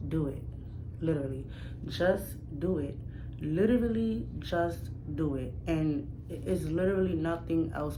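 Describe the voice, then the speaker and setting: A young woman from New York with a New York accent, giving casual, emphatic advice straight to camera in a slangy, direct way that repeats her points. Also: low voice. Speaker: new YouTuber. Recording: audible background noise.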